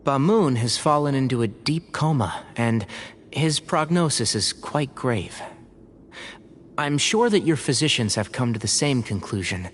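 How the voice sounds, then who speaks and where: An actor playing a calm, gently serious physician and scholar in a video game, speaking thoughtfully and politely. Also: male voice, medium pitch, a little slow, a little hiss or hum, lightly acted. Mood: grave, gentle.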